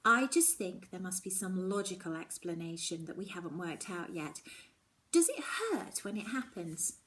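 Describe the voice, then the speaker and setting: A woman voicing a determined, caring but sceptical schoolgirl best friend in a children's audiobook, with a brisk, reassuring manner. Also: lowish voice, very animated, a bit bright. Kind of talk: children's audiobook character dialogue.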